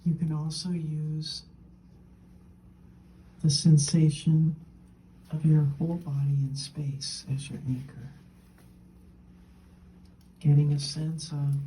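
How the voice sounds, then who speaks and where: A woman leading a guided meditation as a teacher, gently and unhurriedly, in short phrases with long pauses, her soft, breathy voice often falling toward a whisper. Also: low voice, fairly even intonation, rough recording.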